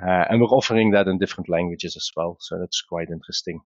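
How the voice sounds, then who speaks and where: A man's voice: deep voice, fast talker, no echo, dull audio. Belgian man presenting a webinar. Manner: explanatory, conversational, with frequent "uh" and restarts. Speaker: company founder presenting a webinar.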